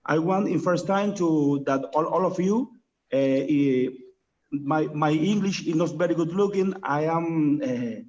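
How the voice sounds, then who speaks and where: A young Cuban man, a native Spanish speaker, asking a question on a webinar in halting English and repeating his words. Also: on the high side, a little mellow. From Cuba. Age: young adult. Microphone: video call. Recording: dull lo-fi call audio.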